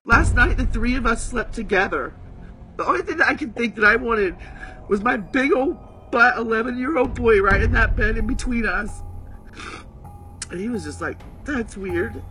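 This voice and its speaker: A man telling a personal story in a casual, halting way, quoting others as he goes. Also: very high-pitched, bright, forceful tone, low-quality recording. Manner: halting, acts out quotes. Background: music.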